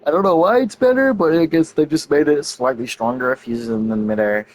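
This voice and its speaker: A man's voice: on the high side, quick pace, slight background noise, poor audio. Young man casually commentating a video game. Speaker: gaming streamer. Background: game music.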